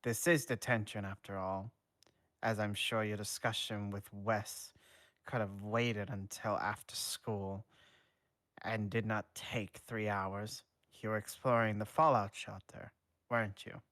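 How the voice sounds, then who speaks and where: A man voicing a stern but caring schoolteacher in a fan fiction reading, slow, formal and measured. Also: on the low side, steady tone, fairly bright tone, no echo. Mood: mildly stern.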